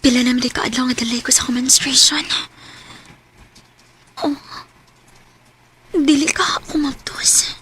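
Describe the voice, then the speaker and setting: High, bright, proud young woman, Filipino-accented. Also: a little slow, long pauses. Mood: anxious. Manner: emotional, dramatic, expressive. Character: wronged young woman Yana, proud, emotional, newly a mother. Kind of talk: radio drama acting. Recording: noisy radio drama recording.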